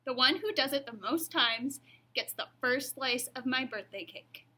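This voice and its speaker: A woman's voice: high-pitched, lively intonation, a little slow, sharp tone, full character voice. A woman voicing a haughty, bossy young schoolgirl who is dismissive, in a children's storybook.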